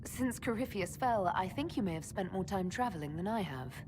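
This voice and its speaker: A woman's voice: mid-range voice, lively intonation, fairly quick, background noise, natural voice. A woman playing a poised, friendly young leader in a video game, polite and informal with a wry streak. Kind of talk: video game cutscene dialogue acting.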